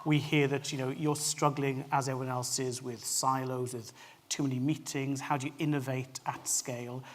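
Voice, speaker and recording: A man's voice: medium pitch, flat delivery, moderate pace. Guy moderating a panel, asks ppl stuff by name, bit of room hum. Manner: probing, addresses panelists by name. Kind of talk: panel discussion.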